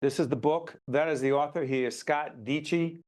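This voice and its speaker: A man's voice: fairly high pitch, fairly even intonation, normal speed, darkish tone. A man who grew up in Newark, hosting an interview with an author in an emphatic, interjecting way. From Newark, New Jersey. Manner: emphatic, interjecting, directs the conversation. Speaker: host.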